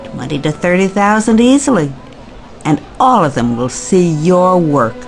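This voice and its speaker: A woman playing a brisk, pushy and cynical event boss in an audio drama, breezy and commanding. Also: lowish voice, animated, soft background music. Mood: breezy, proud.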